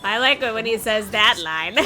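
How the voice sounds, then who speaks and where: A woman game streamer giving casual, expressive commentary, exclaiming and talking to the characters on screen. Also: on the high side. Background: game music.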